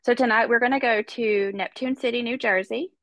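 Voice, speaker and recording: Congested woman with a gravelly voice. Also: on the high side, fairly even intonation, a little fast, fairly bright tone, dry recording. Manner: chatty, conversational, many fillers. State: congested with a cold. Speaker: podcast host. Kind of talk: casual true-crime storytelling.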